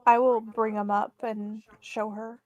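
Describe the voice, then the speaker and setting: A woman takes part in a tabletop game as a player. She talks casually in a voice pitched a little high. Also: flat delivery, measured pace, a little mellow, no echo, highs cut off.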